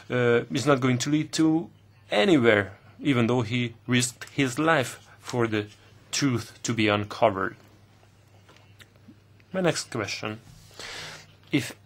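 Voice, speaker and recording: A man interpreting formal committee questions live, speaking in halting bursts with pauses mid-phrase. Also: mid-range voice. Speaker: simultaneous interpreter.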